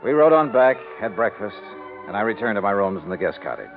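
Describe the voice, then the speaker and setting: An actor playing a shrewd, world-weary insurance investigator, clipped and hard-boiled, in 1950s radio detective style. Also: male voice, fairly low pitch, big pitch swings, fast, narrow, tinny audio, music in the background. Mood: brisk narrating. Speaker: detective hero and narrator. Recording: band-limited old 1950s radio recording.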